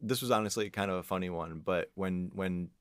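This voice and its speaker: A man's voice: sits low, brisk, a bit bright, clear, resonant tone, no echo. A man talking casually as an audio engineer and podcast guest, telling work anecdotes.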